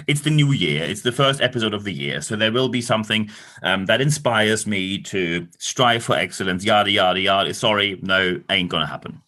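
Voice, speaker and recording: A man's voice: on the low side, fairly bright tone. A man from Northern Germany with a German accent, hosting a solo leadership podcast with blunt talk and dry sarcastic asides. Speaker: podcast host. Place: recording in London.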